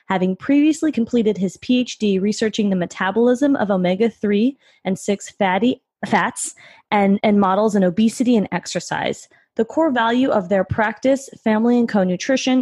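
A woman's voice: medium pitch, darkish tone, husky, dry recording. Woman, podcast host, upbeat, encouraging, dry recording. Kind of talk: podcast interview.

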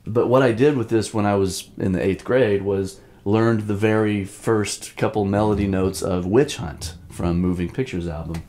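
Low-pitched man in his fifties, reflective. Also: normal speed, few pauses, a little mellow, slight background noise. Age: 50s. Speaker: drum teacher, host. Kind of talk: informal lesson with personal reminiscence.

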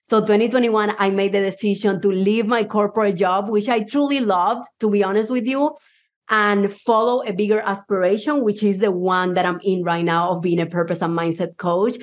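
A woman's voice: medium pitch, steady tone, normal speed. A woman from Cuba with a Puerto Rican accent, Spanish her first language, giving an enthusiastic motivational talk to a live audience as a mindset coach. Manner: enthusiastic, personal storytelling.

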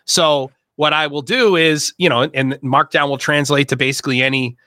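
A man hosting a casual discussion, explaining in quick, emphatic bursts with many fillers. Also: a little high, steady tone, pushed and bright, no room sound, studio quality. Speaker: host and workshop leader.

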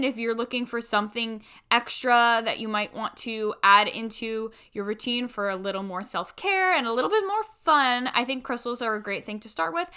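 Bright, higher-voiced woman podcast host. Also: clean tone. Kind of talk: podcast introduction and interview.

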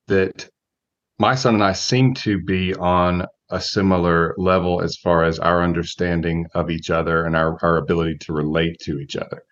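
A man in his forties giving measured, reflective answers as a guest coach in an interview, thinking aloud as he goes. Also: low-pitched, dull audio. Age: 40s.

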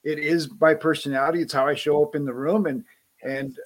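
A man in casual conversation, friendly and quick to laugh, often repeating words as he starts a thought. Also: fairly high pitch, fairly even intonation, slight background noise.

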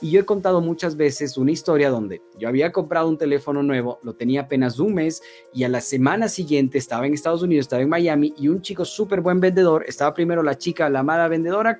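A man's voice: fairly high pitch, quick pace, darkish tone, soft background music. A man with an Ecuadorian accent giving an informal sales lesson with role-played examples, often checking in with short tag questions. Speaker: sales and marketing trainer.

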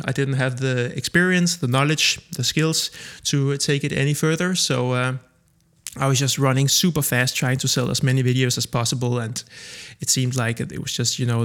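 A Danish man in his thirties, a native Danish speaker, hosting his own podcast and telling his background in a relaxed way with many pauses and fillers. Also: mid-range voice. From Denmark. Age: 30s. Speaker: podcast host. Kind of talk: casual solo podcast monologue.

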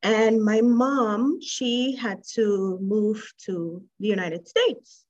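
A woman's voice: mid-range voice, fairly even intonation. A woman from Trinidad and Tobago, a guest telling her life story in an interview, reflective and hesitant, over muffled call audio. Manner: reflective, unhurried, with soft laughs and hesitations.

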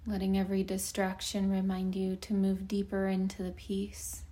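A woman guides a meditation in a very mellow, warm voice. Her pitch barely moves, and she speaks slowly and soothingly with long pauses. Her phrases soften into breath as they end. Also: completely flat delivery. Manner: slow, soothing, unhurried delivery with long pauses.

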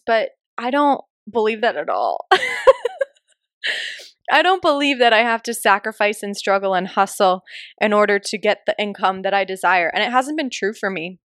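A woman's voice: medium pitch, a bit bright, dry recording. Chatty woman podcast host, dry sound. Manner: chatty, laughs often, asks "right?". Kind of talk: casual solo podcast talk.

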